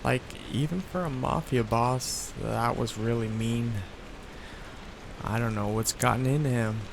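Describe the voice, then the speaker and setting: A man voicing a soft-hearted young male mafia heir in an ASMR roleplay, talking in a hesitant, sheepish way with many fillers. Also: mid-range voice, unhurried, vocal fry, background noise. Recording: steady rain sounds behind the voice.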